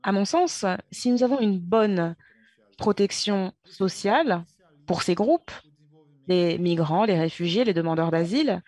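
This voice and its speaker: A woman giving a simultaneous interpretation of conference speeches, halting, with pauses and self-corrections. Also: medium pitch, lively intonation, warm tone, no room sound.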